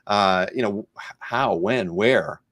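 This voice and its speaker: A man's voice: lowish voice, slow pace, a bit bright. A man hosting a casual live interview, chatting in a hesitant way with restarted questions. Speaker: interview host.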